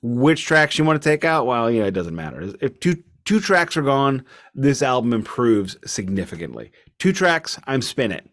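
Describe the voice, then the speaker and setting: A man's voice: mid-range voice, very animated, moderate pace, a bit bright. A man co-hosting a music podcast, giving casual album reviews in an opinionated, emphatic, sometimes mock-formal way.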